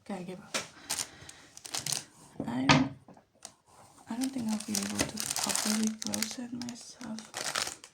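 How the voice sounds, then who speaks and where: A soft-spoken woman chatting casually to herself in ASMR style, often slipping into whispers, recorded in a bedroom. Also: on the high side, fairly even intonation, measured pace, creaky, long pauses, very noisy. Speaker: ASMR video creator. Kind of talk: casual ASMR commentary while making perfume.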